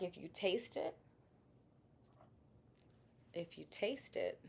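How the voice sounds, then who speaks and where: A woman hosting a casual live sales stream, talking directly to her viewers and quizzing them on her products. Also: fairly low pitch. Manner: chatty, addresses viewers directly, asks quiz questions. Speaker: livestream host selling bath products.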